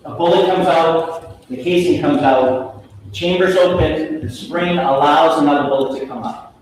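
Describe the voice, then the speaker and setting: A man's voice: fairly high pitch, moderate pace. A man arguing as a prosecutor in a courtroom closing, emphatic and declarative, in short repeated phrases. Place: large indoor courtroom. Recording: echoey courtroom from far off, faint noise.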